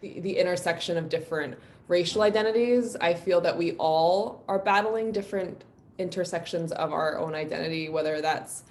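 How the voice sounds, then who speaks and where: A woman's voice: on the low side, moderate pace, darkish tone, a little hiss or hum. A woman from New York City, a guest musician, talking in a reflective, personal way with plenty of ums and likes. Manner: reflective, openly personal, many fillers. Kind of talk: casual live-stream interview.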